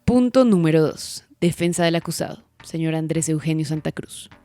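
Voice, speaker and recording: An actress playing a stern, sanctimonious prosecutor, declaiming formally in an audio drama. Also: female voice, low-pitched, expressive, moderate pace, mellow. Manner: formal, declaiming, reading out charges and questions. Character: stern, sanctimonious female prosecutor at a sham trial. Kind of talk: audio drama courtroom acting.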